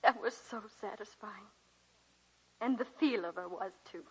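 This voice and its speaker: An actress playing an elderly woman college teacher, a reflective and wry great-aunt, in gentle, theatrical 1940s radio drama style. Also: mid-range voice, a little slow, husky, low-quality recording. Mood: tender, reflective. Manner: reminiscing, gentle, theatrical delivery. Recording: hissy 1940s radio broadcast recording.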